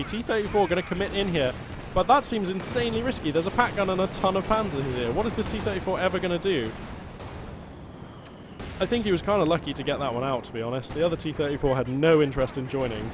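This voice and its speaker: Caster: British man, fairly high voice, excitable and rambling, with loud game gunfire and artillery under him. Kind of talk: live strategy game commentary. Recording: loud game battle sounds behind. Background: gunfire, artillery and vehicle noise from the game.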